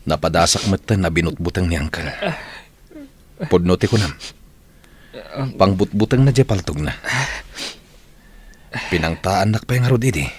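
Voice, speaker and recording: Low-voiced, brooding older husband acted by a man. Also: moderate pace, long pauses, lightly acted. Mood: shocked, questioning. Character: bitter older husband, brooding over past wrongs. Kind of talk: Ilocano radio drama acting.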